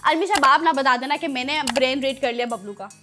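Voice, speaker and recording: A woman's voice: sits high, brisk, sharp tone, soft background music. A woman with a Pakistani accent presenting a children's show, playful and encouraging as she talks to kids.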